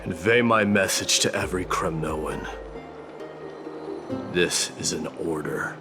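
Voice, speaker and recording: A man's voice: sits low, takes it slowly. A man playing a proud, stern warrior prince turned war god in a video game, with a grandiose, declamatory delivery. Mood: commanding. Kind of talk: scripted video game acting. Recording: clear music behind.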